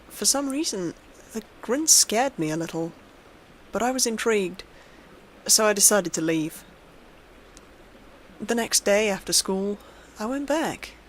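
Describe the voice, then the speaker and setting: A woman's voice: medium pitch, a little hiss or hum, low-quality recording. A woman reading a scripted horror story aloud as its narrator.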